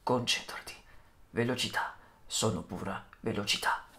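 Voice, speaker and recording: Cocky cartoon race car, young, intense, self-absorbed, film dub. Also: male voice, fairly low pitch, normal speed, sharp tone. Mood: focused, hushed.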